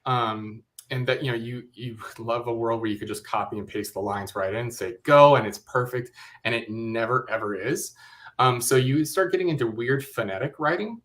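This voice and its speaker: A man on a panel explaining his craft in an informal, halting, wry way. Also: mid-range voice, fairly bright tone. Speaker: audio drama creator, panelist.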